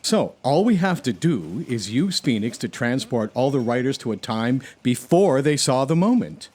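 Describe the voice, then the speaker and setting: Man as an earnest, animated space hero. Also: mid-range voice, normal speed. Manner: polite, earnest, explanatory. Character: earnest, mild-mannered male space hero who knits. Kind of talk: comic sci-fi audio drama acting.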